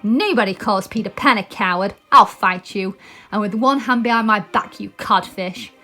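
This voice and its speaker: A woman's voice: medium pitch, moderate pace, pushed and bright, faint music behind, lightly acted. A woman voicing a bold, confident boy hero in a children's storybook. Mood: defiant. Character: bold, cocky boy hero who never grows up.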